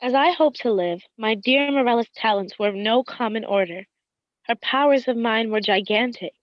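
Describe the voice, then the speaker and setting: A woman's voice: on the high side, moderate pace, no room sound, muffled recording, lightly acted. A woman playing an adoring, wistful wife in a radio drama, speaking reverently and poetically of her beloved.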